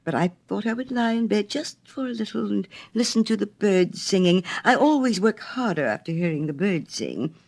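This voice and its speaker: A woman's voice: medium pitch, lively intonation, moderate pace, hardly any pauses, darkish tone, voice pushed up. A woman voicing a humble, gullible little man in a storybook, shy and eager to please. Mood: timid, apologetic.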